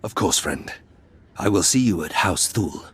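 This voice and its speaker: An actor playing a duke's polite, formal henchman in a video game, speaking in a measured way. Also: deep voice, lively intonation, fairly bright tone, breathy edge, full character voice. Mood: resigned, polite. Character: smooth-talking, formal henchman of a duke. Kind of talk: video game character acting.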